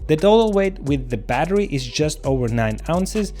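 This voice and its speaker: A man's voice: a little high, lively intonation, normal speed, dark tone. A man hosting a product review, talking viewers through the details in a conversational, explanatory way. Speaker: gear reviewer and filmmaker, channel host. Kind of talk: casual scripted product review to camera. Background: background music.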